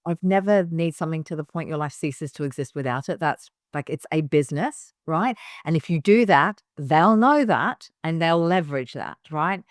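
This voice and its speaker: A woman's voice: low-pitched, normal speed, hardly any pauses, no room sound, studio quality. A middle-aged woman from Hong Kong, a business founder giving direct, emphatic advice in a casual interview, with frequent fillers and restarts.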